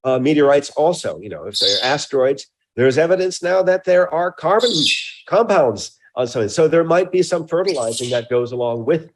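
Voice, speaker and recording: Man explaining science, emphatic and halting. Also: a little high, lively intonation, noisy background. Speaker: scientist being interviewed.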